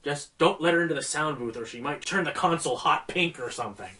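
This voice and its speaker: A man's voice: a little high, fast, pushed and bright. A man playing a grumpy, sarcastic pegasus radio broadcaster in an audio drama, sounding exasperated. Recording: distant from microphone, music underneath.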